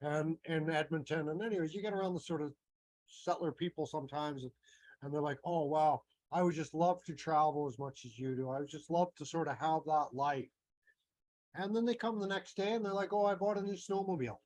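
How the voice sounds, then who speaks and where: A man's voice: on the high side, fairly even intonation, fairly quick, a little mellow, no echo, low-quality recording. Rambling Canadian man, dry sound. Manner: meandering, thinks aloud with many fillers and restarts. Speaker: astrology teacher. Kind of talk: casual rambling talk.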